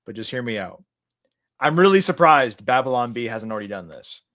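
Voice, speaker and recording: A man on a casual chat who laughs through his own stories and pitches comedy ideas. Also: expressive, brisk, a bit bright, dry recording, clean studio sound.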